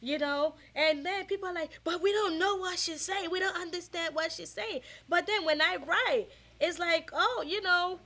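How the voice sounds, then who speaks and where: A woman's voice: very high-pitched, moderate pace, hardly any pauses. A Nigerian woman with a Nigerian accent, a Yoruba speaker co-hosting a casual discussion and talking animatedly with many restarts. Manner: animated, lots of restarts and quoted voices. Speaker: co-host.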